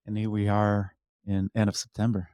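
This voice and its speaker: A male podcast guest with a Quebec French accent chats casually about his own life. His voice sits fairly low, and French is his first language. The recording is dry and close. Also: completely flat delivery, normal speed, mellow, smooth, no room sound. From Quebec, Canada. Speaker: podcast guest, former downhill mountain bike racer. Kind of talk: casual chat, telling own story.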